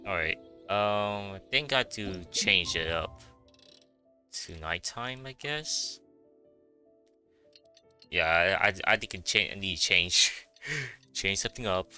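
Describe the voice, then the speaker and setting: A man's voice: low-pitched, animated, takes it slowly, pauses often, pushed and bright, clean tone, soft background music. A man giving casual gameplay commentary as a streamer, informal, muttering short reactions. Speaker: game streamer.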